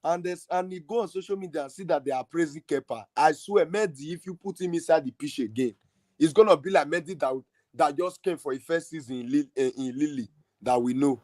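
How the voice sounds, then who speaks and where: A man's voice: a little high, a little fast, darkish tone, no room sound. A man hosting a football fan podcast, arguing his point passionately in a casual heated debate. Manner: passionate, argumentative, emphatic.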